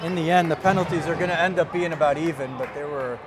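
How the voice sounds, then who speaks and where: A man's voice: fairly high pitch, quick pace. A man doing live play-by-play commentary on ice hockey in clipped running calls of the action. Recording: loud crowd and rink noise. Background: crowd and rink noise.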